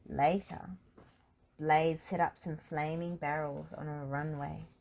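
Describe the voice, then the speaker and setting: A woman's voice: deep voice, little pitch movement, slow pace, creaky, darkish tone. A woman reading a children's storybook aloud as narrator. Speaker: storybook reader.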